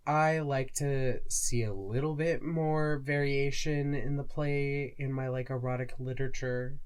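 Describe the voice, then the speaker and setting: Mid-pitched podcast co-host in casual chat. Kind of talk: casual chat discussing fan fiction.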